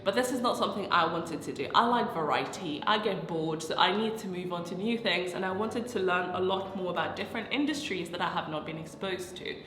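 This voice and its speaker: A woman's voice: lowish voice, fairly quick, few pauses, a bit bright. A young woman, congested and nasal from a cold, chatting casually to camera as she explains her work point by point. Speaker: consultant and vlogger. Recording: roomy echo with a long tail and faint music.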